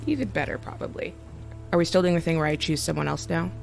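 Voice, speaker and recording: A woman's voice: low-pitched, fast talker, gravelly, a little mellow. A woman chatting casually as a podcast panelist, with wry, self-correcting asides. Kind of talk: casual chat. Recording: music playing behind the voice.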